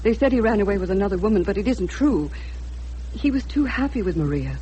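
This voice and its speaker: An actress playing an anxious middle-aged mother of a missing man in a radio drama, urgent and halting. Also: mid-range voice, expressive, mellow, muffled recording, lightly acted. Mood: anxious, pleading. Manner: urgent, halting, stammering with fear. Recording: noisy radio drama recording with hum.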